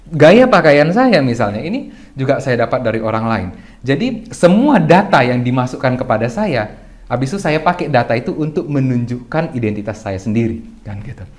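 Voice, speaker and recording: Young guy guest on a chat podcast, mid-pitched voice, thinks out loud, asks himself questions, clears his throat a lot. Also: sing-song, normal speed. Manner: reflective, explanatory, often clears throat; loosely phrased with restarts and rhetorical questions. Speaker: guest speaker. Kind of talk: casual podcast discussion.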